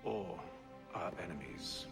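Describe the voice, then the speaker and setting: A self-assured agent of a secret order in a video game cutscene. His voice is deep and his manner formal, dry and understated. Loud music runs behind the dialogue. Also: expressive, slow pace, vocal fry, full character voice. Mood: cool, composed.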